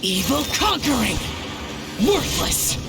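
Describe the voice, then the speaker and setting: A woman's voice: sits high, big pitch swings, slow, exaggerated voice. A woman voicing a cocky, combative video game fighter in short battle cries. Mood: scornful, combative. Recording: loud game music and effects over voice.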